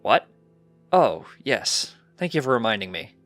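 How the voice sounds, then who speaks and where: A man's voice: mid-range voice, big pitch swings, unhurried, fairly bright tone, faint music behind. A man voicing a distracted, breezy male unicorn scientist, a doting husband in a storybook reading.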